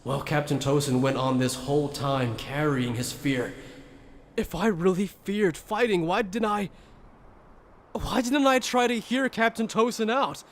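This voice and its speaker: A man's voice: fairly high pitch, a little slow. A man playing an earnest, troubled soldier in an anime fan dub, speaking introspectively. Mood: anguished, resolute. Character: earnest, troubled male soldier reflecting on his justice. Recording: roomy long reverb, faint noise.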